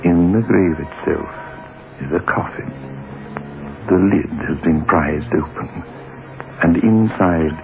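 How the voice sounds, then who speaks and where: Creepy horror radio host, super deep creaky voice, ominous, music behind him. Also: male voice, full character voice. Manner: slow, ominous, deliberate. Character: sinister, macabre male horror-show host, drily gleeful. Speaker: host and narrator. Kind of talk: 1960s radio horror narration. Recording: lo-fi old radio recording.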